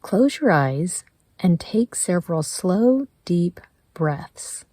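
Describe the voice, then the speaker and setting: A woman leading a guided meditation in a gentle, measured way, leaving pauses between her prompts. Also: fairly low pitch, big pitch swings, slightly rough, no room sound. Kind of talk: slow guided meditation narration.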